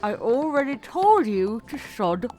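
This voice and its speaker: A woman's voice: fairly high pitch, very animated, a little slow, darkish tone. A woman playing an irritated, snappish pony wife in a fan audio drama. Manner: snappish, biting, indignant. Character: irritated adult mare, snappish exasperated wife. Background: music.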